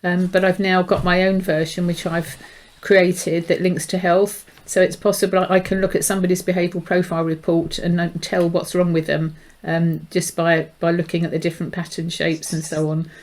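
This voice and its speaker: A woman's voice: lowish voice, level tone, moderate pace, hardly any pauses, a little mellow. A middle-aged woman, hosting a conversational interview as a behaviour profiling specialist.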